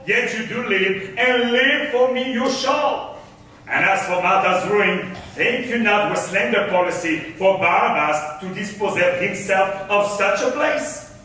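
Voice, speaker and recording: A man acting a scheming, self-assured merchant on stage, declaiming Elizabethan drama with deliberate grandeur. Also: high voice, bright, forceful tone, recorded from a distance. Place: concert hall stage, far camera. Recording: echoey hall from afar, faint noise.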